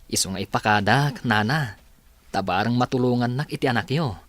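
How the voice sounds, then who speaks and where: A man's voice: medium pitch, normal speed, fairly bright tone, no echo, close to an everyday voice. An actor with an Ilocano accent playing an earnest young man courting a woman, in a radio drama. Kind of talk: radio drama acting and closing narration.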